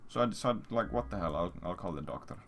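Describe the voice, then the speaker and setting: A man with a very deep voice rambles through a crude, sweary anecdote in a casual streamer style. The recording has clear background noise, with computer keyboard sounds behind him. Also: expressive, fast talker, fairly bright tone. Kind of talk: casual storytelling to stream viewers.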